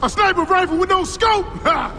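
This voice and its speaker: Game soldier: very high-pitched, jittery wisecracker, swinging delivery, brash and whiny. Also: male voice, animated, moderate pace, long reverb tail, over music. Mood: sarcastic griping. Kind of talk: video game cutscene acting.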